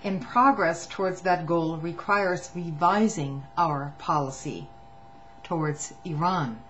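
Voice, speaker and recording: A woman reading a written testimony aloud in a measured way, now and then stumbling and restarting a phrase. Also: fairly low pitch, fairly bright tone. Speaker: reader of a public document. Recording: muffled lo-fi recording with faint hum.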